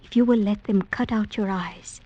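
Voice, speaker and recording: An actress in a 1940s radio drama playing a tender young woman who pleads softly with her lover, her voice breathy and often whispered. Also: mid-range voice, moderate pace, a little hiss or hum, lightly acted. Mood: tender pleading. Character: tender young woman, the blind hero's beloved. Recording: dull lo-fi old radio recording.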